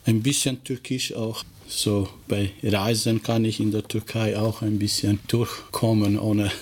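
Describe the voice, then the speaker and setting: Middle-aged male interviewee, native Albanian with matching accent, even monotone delivery, halting phrasing, faint background noise. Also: lowish voice. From former Yugoslavia. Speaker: teacher being interviewed. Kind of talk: interview answers in a second language.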